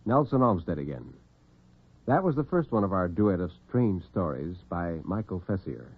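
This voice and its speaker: An American man telling dramatic tales as host and storyteller in classic radio style, theatrical and expressive. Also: sits low, moderate pace, breathy edge. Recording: narrow-band vintage radio recording, muffled.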